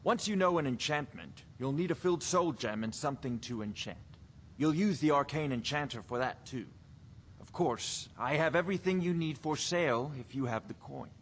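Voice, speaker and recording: An actor playing a haughty, condescending court wizard in a fantasy video game, speaking in a formal, lecturing way. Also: male voice, fairly high pitch, lively intonation, moderate pace, a little hiss or hum, natural voice. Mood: instructive. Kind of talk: scripted video game dialogue.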